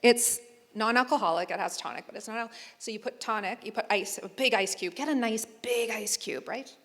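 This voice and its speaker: A woman chatting on a convention panel, telling lively stories and putting on playful voices. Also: a little high, fairly quick. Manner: animated storyteller, playful voices. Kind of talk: casual panel chat. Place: large hall on stage. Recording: reverberant hall sound.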